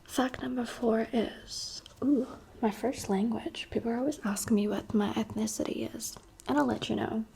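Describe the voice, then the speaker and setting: Young woman ASMR creator from the UAE, soft unvoiced whisper, chatty and candid, faint hiss behind. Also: on the high side, steady tone, moderate pace, vocal fry.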